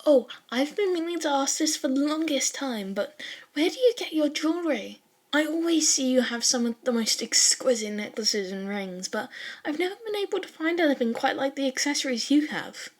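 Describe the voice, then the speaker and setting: A woman's voice: high voice, lively intonation, normal speed, pitched above the performer's own voice. A woman voicing a polite, curious teenage girl with a refined, earnest manner in a story reading. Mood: curious, admiring. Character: polite, curious, well-spoken teenage girl, easily flustered.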